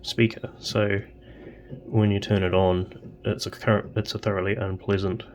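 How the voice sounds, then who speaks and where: A man narrating casually and haltingly as he tinkers at a workbench, thinking aloud. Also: on the low side, flat delivery, darkish tone, a little hiss or hum. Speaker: host of electronics tinkering videos.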